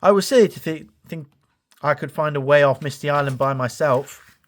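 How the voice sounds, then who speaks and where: Man voicing an eager, high-pitched young steam engine. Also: moderate pace, lightly acted. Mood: earnest. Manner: exclamatory, earnest. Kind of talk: children's storybook character voice.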